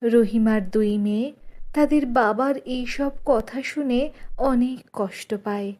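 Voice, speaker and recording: A woman's voice: a little high, unhurried, mellow. A woman reading scripted narration for a children's cartoon story.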